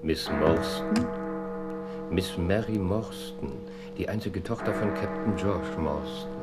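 An actor playing a sharp, brilliant, self-assured detective in a German radio play, speaking precisely and theatrically. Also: male voice, low voice, slow, loud music behind the voice.

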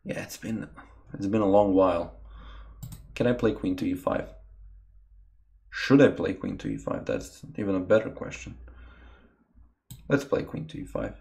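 A man's voice: sits low, big pitch swings, moderate pace, gravelly, long pauses, a little mellow. A young man from Croatia, streaming live chess from a small room and thinking aloud about moves as he chats with viewers through a webcam mic. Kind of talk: live game commentary with chat.

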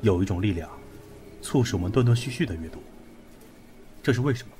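A male actor plays a young man coolly picking apart a mystery in an audio drama. His voice sits low, with a methodical, commanding and brusque delivery. Faint music runs underneath. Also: animated, fairly quick, warm tone, natural voice. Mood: grave, analytical. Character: brusque, analytical young man investigating a cursed book. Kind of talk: Chinese audio drama acting.

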